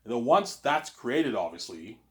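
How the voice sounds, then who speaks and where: A man's voice: mid-range voice, big pitch swings, measured pace, a bit bright. A man with a slight Canadian accent talking in a rambling casual monologue, often restarting and tagging on "obviously". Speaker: vlogger.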